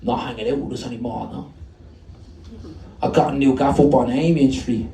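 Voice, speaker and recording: A man's voice: medium pitch, fairly even intonation, normal speed, vocal fry, dark tone, natural voice. Echoey, needling Dublin lad, acted by a man. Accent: inner-city Dublin. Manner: needling, confrontational. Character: jeering drug-using former friend, rough Dublin lad. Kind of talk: acted dialogue in spoken-word story. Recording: echoey room, distant from mic.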